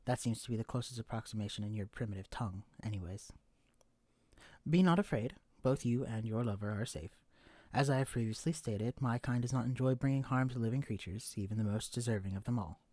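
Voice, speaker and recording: Alien impostor husband for a horror audio drama, performed by a man: mid-pitched, formal, stilted, pompous. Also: darkish tone, dry recording, close to an everyday voice. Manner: stilted formal diction, pompous and overly proper. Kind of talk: scripted audio roleplay.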